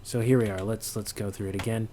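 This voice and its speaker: Brief: male tutorial host, lowish voice, casual halting walkthrough, thinking aloud, slight background noise. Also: fairly even intonation, mellow. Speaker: sound designer giving tutorial. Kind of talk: casual, unscripted software walkthrough.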